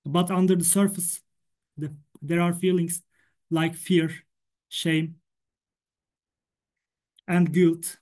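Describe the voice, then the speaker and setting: A man's voice: a little high, level tone, takes it slowly, long pauses, darkish tone. Man with a Turkish accent who stutters. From Turkey. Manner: stutters, repeating words and sounds. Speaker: stuttering association representative. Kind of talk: prepared presentation.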